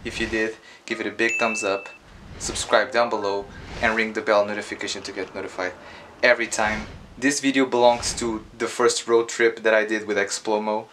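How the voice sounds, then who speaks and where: A young man, narrating an urban-exploration vlog in an earnest, plain way, with a few halting restarts. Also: fairly low pitch, flat delivery, background noise. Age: young adult. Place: indoors, in a decaying abandoned house. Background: loud guitar music.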